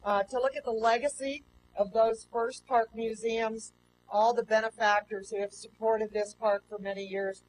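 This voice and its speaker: A woman's voice: fairly high pitch, steady tone, moderate pace. A woman giving a formal welcome speech and introducing guests as host of a ceremony. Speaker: park superintendent, ceremony host. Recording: noisy live outdoor event feed.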